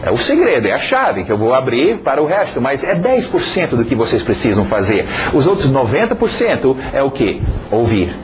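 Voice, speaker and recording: Male language teacher, very lively intonation, informal, repetitive emphasis, background noise. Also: medium pitch, fairly quick, hardly any pauses, poor audio. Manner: animated, repeats words for emphasis, frequent check-in tags. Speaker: English teacher. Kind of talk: informal spoken lesson.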